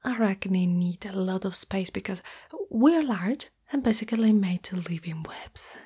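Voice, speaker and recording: A shy spider monster girl, played by a woman, speaks in an ASMR roleplay. Her voice is an extremely breathy whisper, soft and mellow in tone. She goes slowly and hesitantly, tender and lovestruck, as if confiding in a partner. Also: medium pitch. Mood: tender, anxious. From Italy.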